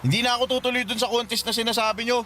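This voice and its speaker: An actor with a Filipino accent playing a hurt, stubborn, resentful teenage son in radio drama style. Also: male voice, very high-pitched, fairly even intonation, rapid-fire, sharp tone, a little hiss or hum. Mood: angry, bitter.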